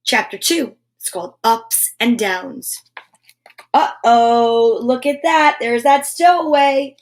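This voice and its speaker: A woman's voice: a little high, slow pace. A woman hosting a live children's story show, effusive and encouraging, chatting with playful asides and reading aloud.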